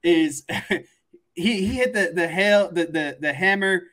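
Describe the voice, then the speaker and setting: A man's voice: high-pitched, slow pace, a bit bright. A man giving casual sports analysis on a podcast, explaining things and repeating phrases for emphasis.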